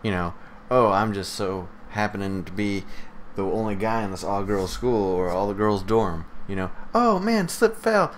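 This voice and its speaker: A man's voice: fairly low pitch, animated, normal speed, slight background noise. A man co-hosting a casual live podcast, joking and blunt, swearing freely as he talks. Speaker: podcast co-host.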